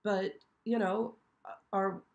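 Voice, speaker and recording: Hoarse woman hosting a live chat. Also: medium pitch, flat delivery, takes it slowly, muffled recording. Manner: chatty, frequently checks in with "okay?". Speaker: show host. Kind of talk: casual live-stream chat. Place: show set.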